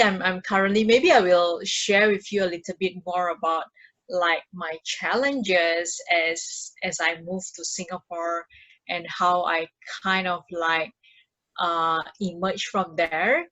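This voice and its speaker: A woman with an Indonesian accent tells personal stories as a podcast guest. Her voice sits a little low, and she goes very slowly and haltingly, often repeating words as she casually explains. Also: steady tone, a bit bright, rough recording. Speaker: podcast guest, mindfulness practitioner.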